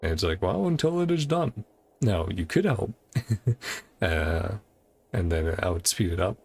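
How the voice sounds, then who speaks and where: A man's voice: sits low, expressive, measured pace, creaky, a little mellow, husky. A man giving casual gameplay commentary, musing aloud and paraphrasing story dialogue in short clipped phrases. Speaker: game streamer.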